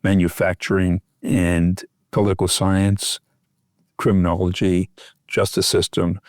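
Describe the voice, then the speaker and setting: Older man, American accent, low voice, expert interview guest, explanatory, dry sound. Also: a little mellow, no echo. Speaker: expert guest, physician and academic.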